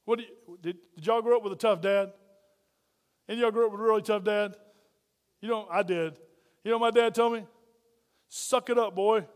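A man preaching a sermon as pastor, loud and folksy, full of playful asides to the congregation. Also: sits high, steady tone, brisk, long pauses, in a large hall.

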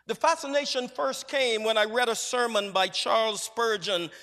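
A high-pitched man from Barbados delivers a measured, reflective sermon. His voice is bright and ringing, and it carries a long reverb through a church sanctuary. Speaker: preacher.

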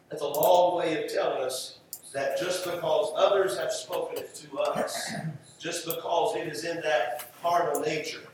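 An American man delivers a fervent sermon in a high voice. He leans hard on key phrases and repeats them for emphasis. The sound is very reverberant and picked up from a distance. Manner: emphatic, repeats phrases for effect, drawn-out stresses. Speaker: preacher. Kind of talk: fervent sermon with scripture reading. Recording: roomy echo, distant mic, faint noise.

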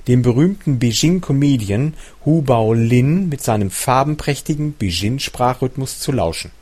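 A man reading a translated nonfiction book aloud as its narrator, at a measured, even pace with the odd self-correction. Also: medium pitch.

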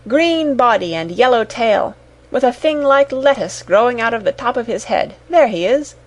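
A woman's voice: mid-range voice, lively intonation, moderate pace, rough recording. A woman voicing an old man from a storybook, earnest and exclamatory, caught up in childlike emotion over his memories. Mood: childlike ecstasy. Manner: exclamatory, earnest, swinging between glee and pity. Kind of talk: dramatised storybook reading.

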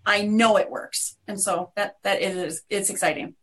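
A woman's voice: mid-range voice, fairly even intonation, measured pace, fairly bright tone. A Midwestern American woman speaking as a guest on a webinar, chatty and upbeat, with many restarts. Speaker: guest, media company owner. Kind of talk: webinar conversation.

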